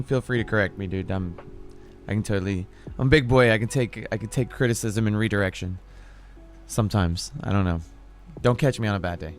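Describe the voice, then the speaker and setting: A young man hosting a casual talk show from his room. He talks loosely, swears freely and leans on lots of filler words. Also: mid-range voice. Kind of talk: casual unscripted chat. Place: home room, self-described cave.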